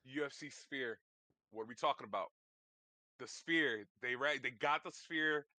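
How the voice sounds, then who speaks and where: Bright-voiced man in loose banter. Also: medium pitch, normal speed, dry recording. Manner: loose, hesitant, full of slang. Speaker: podcast co-host. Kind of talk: casual chat about fights.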